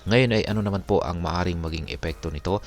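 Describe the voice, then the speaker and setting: A Filipino man hosting an explanatory video, speaking in a polite, measured, formal way. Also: deep voice, faint music behind. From Philippines. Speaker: crypto video host. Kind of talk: explanatory narration over charts.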